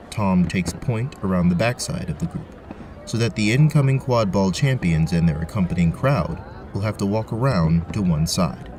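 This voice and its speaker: A man's voice: low voice, darkish tone, slight background noise. A man narrating a scripted fantasy story as the game master of an audio drama.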